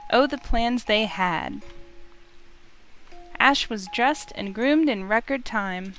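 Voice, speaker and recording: A woman's voice: a little high, takes it slowly. A woman streamer reading a visual novel's narration aloud like a storybook during a playthrough. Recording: loud music under the voice. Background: game music.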